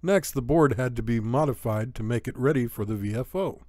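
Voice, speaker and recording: A man's voice: mid-range voice, a little fast, a little mellow, dry recording, studio quality. A man reading scripted technical narration as an electronics tutorial narrator, in a methodical, matter-of-fact way.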